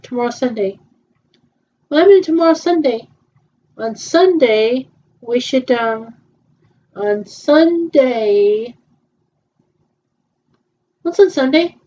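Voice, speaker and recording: A woman in her twenties with a fairly high, mellow voice. She talks haltingly, stopping and restarting with fillers. The sound is muffled and lo-fi, like a cheap home recording. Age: 20s. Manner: hesitant, halting, many repeats and fillers. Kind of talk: improvised fan roleplay dialogue.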